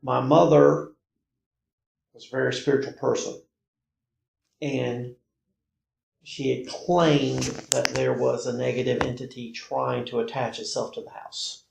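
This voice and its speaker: An American man casually recounting his own experiences as a guest, in halting, emphatic phrases and short repetitions. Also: medium pitch, level tone, gravelly, a little mellow, slight background noise. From North Carolina, United States. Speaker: podcast guest recounting personal paranormal experiences. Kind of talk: casual conversational storytelling. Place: indoor room, in-person conversation. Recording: roomy, echoey indoor recording.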